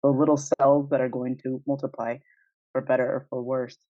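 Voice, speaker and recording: A woman's voice: very deep voice, flat delivery, moderate pace, dry recording. Woman co-host, dull dry recording. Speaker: podcast co-host asking questions. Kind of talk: casual conversation. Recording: dull, dry, band-limited audio.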